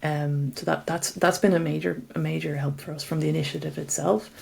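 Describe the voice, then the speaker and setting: Female interview guest, deep register, extremely heavy vocal fry, halting conversational phrasing. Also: little pitch movement, darkish tone. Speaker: company representative, interview guest.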